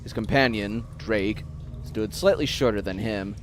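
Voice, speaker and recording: A man's voice: on the low side, a little slow, soft background music. A man delivering dramatic scripted narration for an audio story.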